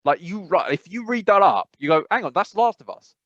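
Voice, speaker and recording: A man with an English accent co-hosting a podcast, rambling and animated, with emphatic repetitions. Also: high voice, fairly quick, no echo, lo-fi sound. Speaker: podcast co-host. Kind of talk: casual chat.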